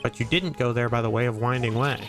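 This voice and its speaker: A man voicing an earnest, determined young defense lawyer in an amateur courtroom game fan dub, with a deliberate, accusing manner. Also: medium pitch, music in the background, lightly acted. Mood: methodical, pressing.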